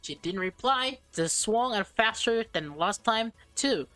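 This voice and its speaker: A woman let's player reads a visual novel aloud at an unhurried pace, tripping on words and repeating them. She drops casual, slangy asides between passages. The sound is close and dry, with faint music playing behind her. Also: on the high side, dry recording. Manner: stumbles over words while reading, repeats phrases; slangy, excitable reactions.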